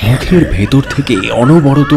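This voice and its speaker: A man gives a dramatic, suspenseful narration of a scary story in deliberate, theatrical phrases. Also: medium pitch, animated, noisy background. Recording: voice over background music. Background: music bed.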